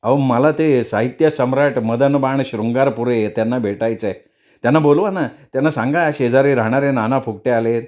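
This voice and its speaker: A man's voice: medium pitch, moderate pace, a little mellow, lightly acted. A man voicing a greedy, scheming, freeloading neighbour who wheedles and mutters asides in a comic story. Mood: eager.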